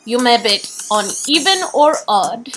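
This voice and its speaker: A woman's voice: on the high side, expressive, measured pace, a bit bright, soft background music. A woman playing a polite, welcoming casino croupier who explains things in an instructive manner.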